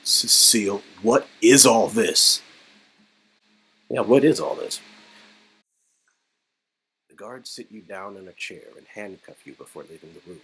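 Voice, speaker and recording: A man's voice: lowish voice, lively intonation, unhurried, pauses often, fairly bright tone, slight background noise, poor audio. A man reading a story game aloud in a casual, wry way, mixing dramatic narration with informal banter. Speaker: reader performing a story game.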